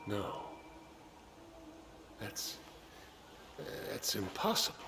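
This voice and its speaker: Cowardly, defensive middle-aged politician, swooping voice. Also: male voice, lowish voice, sing-song, vocal fry, reverberant, noisy background, heavily acted. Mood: pleading, shocked. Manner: defensive, halting, pleading. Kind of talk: dramatic video game cutscene acting.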